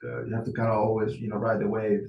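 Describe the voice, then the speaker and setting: A Venezuelan-born man in his forties talks casually on a video call, pausing often and filling gaps with hesitant fillers. His voice sits low and mellow. The sound is roomy, with a faint hum behind him. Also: completely flat delivery. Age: 40s. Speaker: client on a sales call. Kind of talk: casual conversation.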